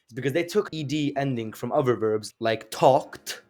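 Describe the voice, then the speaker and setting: A man's voice: mid-range voice, moderate pace, darkish tone. A young man whose first language is Azeri, explaining language learning in a casual, chatty way with fillers and rhetorical questions. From Azerbaijan. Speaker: language teacher. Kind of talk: casual explanatory video lesson.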